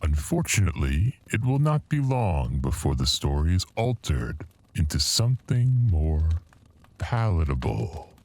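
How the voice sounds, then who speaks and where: A man playing a grim, proud, eloquent storyteller in a horror audio anthology, theatrical and measured. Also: low-pitched, very animated, darkish tone, full character voice. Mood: ominous.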